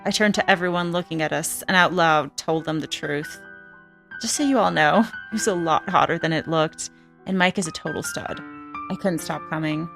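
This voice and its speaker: Actress doing a brazen tough lady telling her story, kinda low, wry and crude, soft music behind. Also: moderate pace, creaky. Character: hard-boiled, brazen female ex-trafficker telling her story. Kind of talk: first-person film narration.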